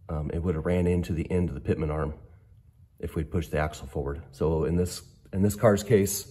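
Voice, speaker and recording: A middle-aged man with a hoarse voice from a cold, explaining a suspension lift in an unhurried, hesitant way with many pauses and ums, filmed on a handheld phone in a garage workshop. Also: sits low, a little mellow. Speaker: off-road fabricator. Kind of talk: casual technical walkthrough. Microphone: handheld phone camera.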